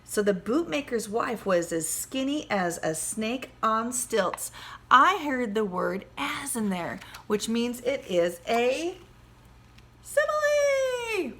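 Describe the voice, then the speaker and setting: A woman's voice: a little high, sing-song, slow, a bit bright. A woman teacher with a slightly scratchy voice, explaining simply to young children as she teaches a lesson and reads a picture book. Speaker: second-grade teacher. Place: at home.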